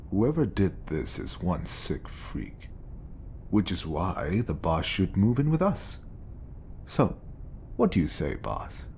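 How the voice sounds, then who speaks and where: A man playing a smooth, scheming, obsessive bodyguard who feigns polite professionalism in an audio roleplay. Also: deep voice, a little mellow, slight background noise, heavily acted. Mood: coaxing.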